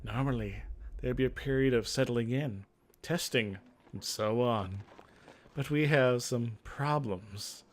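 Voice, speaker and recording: A man voicing a brisk, commanding woman mage in her fifties from a fantasy game, in a dry, formal manner. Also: mid-range voice. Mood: businesslike.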